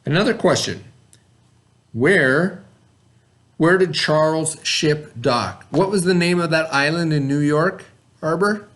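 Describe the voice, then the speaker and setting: A man's voice: a little high, a bit bright. Man teaching a children's read-aloud lesson. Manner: patient, asks children questions and pauses.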